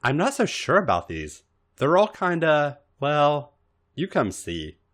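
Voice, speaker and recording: Man playing a sweet innocent young woman, pitched up, swooping, bashful, hesitant, story character. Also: wildly swooping pitch, takes it slowly, fairly bright tone, exaggerated voice. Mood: shy, hesitant. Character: naive, bashful, earnest young woman wrestler from Michigan.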